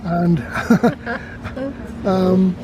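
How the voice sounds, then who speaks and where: A man's voice: sits high, extremely slow. A man making casual, wry, anecdotal conversation. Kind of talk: casual chat. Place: outdoor seafront cafe. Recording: noisy outdoor recording with traffic.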